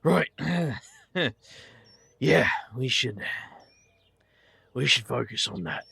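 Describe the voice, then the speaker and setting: A guarded young man in an audio drama, wry and a little hesitant. His voice is husky and creaky, with lively swings in pitch. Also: drawn-out words, no echo, full character voice. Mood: sheepish.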